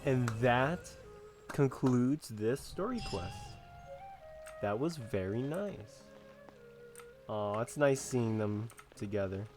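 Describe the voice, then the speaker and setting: A man comments on a game he is playing. He talks slowly and casually, reacting off the cuff. Faint game music runs behind him. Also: mid-range voice, animated, darkish tone. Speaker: game streamer.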